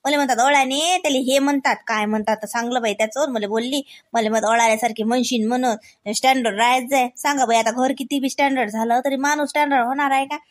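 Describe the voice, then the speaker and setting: A woman's voice: high-pitched, fairly even intonation, fast talker, hardly any pauses, lightly acted. A woman voicing a chatty, unpolished village sister-in-law in a comic cartoon, with a Varhadi Marathi accent and a folksy, rambling, word-searching manner. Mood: rambling, indignant.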